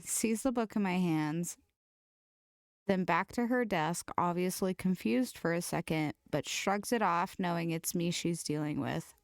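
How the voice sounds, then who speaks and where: A woman's voice: lowish voice, no echo, clean studio sound. A woman co-hosting a podcast, casually chatting and reading funny stories aloud. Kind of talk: casual comedic chat and story reading.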